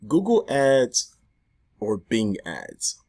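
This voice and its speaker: A hoarse man in his thirties talking casually to camera from his home garage about online marketing. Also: mid-range voice, wildly swooping pitch, extremely slow, darkish tone. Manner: chatty, loose, self-interrupting. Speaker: affiliate marketing vlogger.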